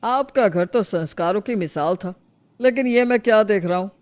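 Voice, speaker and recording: A woman's voice: medium pitch, normal speed, darkish tone, lightly acted. A woman voicing a stern, self-important village headman in a cartoon morality story. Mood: reproachful. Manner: reproachful, authoritative.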